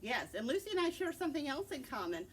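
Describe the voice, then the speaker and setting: A middle-aged woman giving a conversational book talk as a librarian, in an encouraging, gently prompting manner. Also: sits high, sing-song, quick pace. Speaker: librarian and co-host recommending books. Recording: distant mic with loud background noise.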